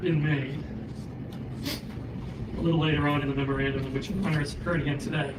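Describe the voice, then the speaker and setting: A man arguing formally before a judge, voice going hoarse, speaking in measured phrases with many hesitations and throat clearing. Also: fairly high pitch, fairly even intonation, noisy background. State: losing his voice. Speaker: special prosecutor arguing in court. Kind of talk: formal courtroom argument. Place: courtroom. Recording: roomy courtroom audio from a distant mic.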